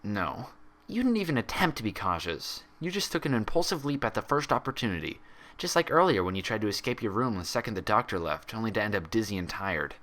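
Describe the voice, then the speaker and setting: A man's voice: fairly low pitch, big pitch swings, brisk, fairly bright tone. A man voicing an anguished young female pony in a fan story, scolding in an accusatory, emotional, emphatic way. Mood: accusing.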